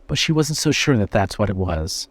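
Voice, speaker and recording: A man's voice: fairly low pitch, lively intonation, moderate pace, no echo. Male horror narrator, dry close recording. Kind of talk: scripted narration of horror fiction.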